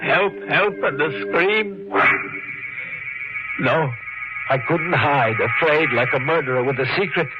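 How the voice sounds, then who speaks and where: An actor playing a meek, guilt-haunted shopkeeper in a radio mystery, brooding and halting as he speaks. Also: a little high. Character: anxious, guilt-haunted shopkeeper husband, meek simple man. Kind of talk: 1940s radio mystery acting, first-person narration and dialogue. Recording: muffled vintage radio recording with loud music.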